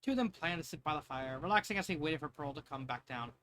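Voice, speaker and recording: A man's voice: on the high side, lively intonation, fast talker, a bit bright, slight background noise. A man reading a story aloud in a loose, jokey way, often breaking off into casual asides. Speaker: fanfiction reader.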